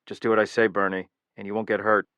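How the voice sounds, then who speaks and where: A man's voice: lowish voice, level tone, fast talker, a bit bright, smooth, no room sound, dull audio, close to an everyday voice. A man voicing a bitter, menacing male killer who makes threats and flares into anger, in an audiobook reading. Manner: threatening, rising to angry outbursts.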